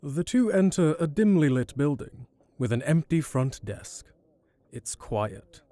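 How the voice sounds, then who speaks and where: Dark, mellow-voiced male narrator. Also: fairly high pitch, big pitch swings, unhurried, no echo. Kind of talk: scripted story narration.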